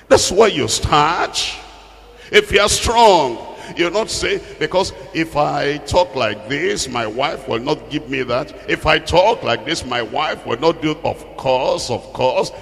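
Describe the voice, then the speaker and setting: High-pitched, slow male preacher in a reverberant hall. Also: creaky, a bit bright. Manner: exhorting, repeats phrases for emphasis. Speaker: preacher teaching from the Bible. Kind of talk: sermon.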